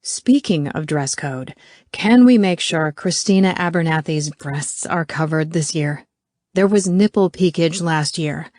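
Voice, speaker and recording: A woman's voice: low voice, a little slow, darkish tone, no echo, studio quality. A woman voicing an awkward, defensive middle-aged male teacher who rambles earnestly in an audiobook. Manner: rambling, self-incriminating, earnest.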